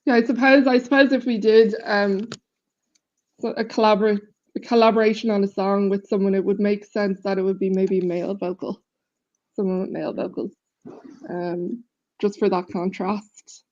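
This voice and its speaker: A woman with a deep voice talks casually about her band, chatty and easygoing, with lots of ums. Her audio comes through a video call and sounds a bit dull and lo-fi. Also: little pitch movement, normal speed, darkish tone. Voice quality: self-described deep voice. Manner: chatty, laughs easily, many fillers. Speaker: band member interviewee. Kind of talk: casual interview chat.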